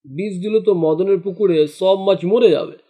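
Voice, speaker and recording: A man's voice: high voice, brisk, dark tone. A man acting a sly, boastful village henchman in a cartoon, goading and cocky as he schemes. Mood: sly scheming.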